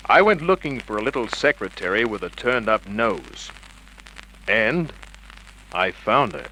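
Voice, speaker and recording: Hardboiled detective, commanding and wry, bright lowish voice, 1950s radio play with faint hiss. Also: male voice, big pitch swings, a little slow, full character voice, low-quality recording. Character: tough, cool, wisecracking male private investigator. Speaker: lead actor and narrator. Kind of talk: 1950s radio crime drama acting, hardboiled narration. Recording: old radio recording with hiss.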